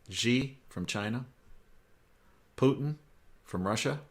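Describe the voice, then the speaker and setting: An American man hosting a current-affairs interview in a conversational style, with measured, emphatic phrasing. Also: medium pitch, a bit bright. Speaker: interview host.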